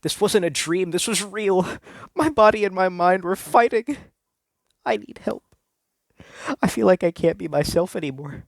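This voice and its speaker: A man's voice: high-pitched, moderate pace, gravelly, no echo, clean studio sound. A man reading a first-person story aloud in a theatrical, earnest, confessional way.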